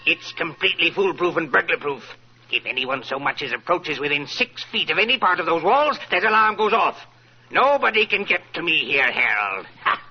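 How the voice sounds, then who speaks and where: A man's voice: fairly high pitch, lively intonation, moderate pace, husky. An actor playing a wealthy, paranoid, self-satisfied old businessman in 1940s radio style, grandly wordy and theatrical. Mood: triumphant, boastful. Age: older. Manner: theatrical, grandly wordy, repeats himself for emphasis. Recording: muffled vintage radio recording.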